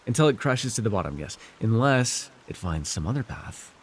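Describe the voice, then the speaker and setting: A man voicing a scheming, weary villain lord in an immersive fantasy ASMR roleplay, wry and persuasive, speaking theatrically with halting, musing pauses and sighs. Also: on the low side, sing-song, husky. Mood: patient, condescending. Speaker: voice actor.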